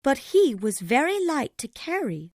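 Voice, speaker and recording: A woman voicing a gentle, careworn mother who speaks softly and tenderly while putting on brave cheer in a storybook reading. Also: high-pitched, sing-song, unhurried, no room sound, studio quality, pitched above the performer's own voice, full character voice. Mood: soft sorrow.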